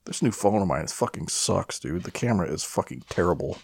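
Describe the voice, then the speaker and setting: A man's voice: deep voice, expressive, brisk, creaky, breathy edge. A man co-hosting a podcast in casual comic banter, with a profane, wry manner. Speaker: podcast co-host.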